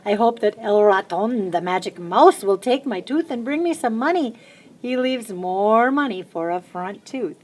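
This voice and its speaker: A woman reading a children's picture book aloud, lifting her voice high and bright for the little chants. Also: lively intonation, measured pace, poor audio. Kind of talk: storybook read-aloud.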